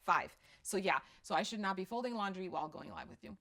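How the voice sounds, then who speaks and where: A woman's voice: medium pitch, lively intonation, fast talker, pushed and bright. A middle-aged woman from Croatia with a slight Croatian accent, chatting casually about parenting and answering viewer questions on a live stream, candid and self-deprecating.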